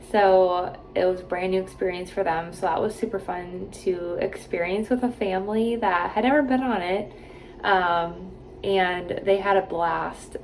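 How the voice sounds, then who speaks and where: A woman's voice: medium pitch, darkish tone, a little hiss or hum, low-quality recording. Chatty woman in her twenties. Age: 20s. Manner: chatty, rambling, lots of "like" and "um". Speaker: vlogger. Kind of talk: casual chat to camera. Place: hotel room and bathroom.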